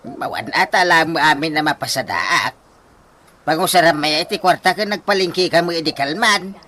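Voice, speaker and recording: An actor playing an exasperated, teasing husband in a Philippine radio comedy-drama, grumbling in an animated way. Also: male voice, on the high side, normal speed, slight background noise.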